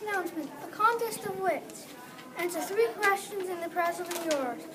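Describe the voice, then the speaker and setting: A woman's voice: very high voice, normal speed. A female reader playing an eager, studious young daughter in a readers theatre play. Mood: excited. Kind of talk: readers theatre, reading from a script. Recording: distant mic, loud noisy room chatter.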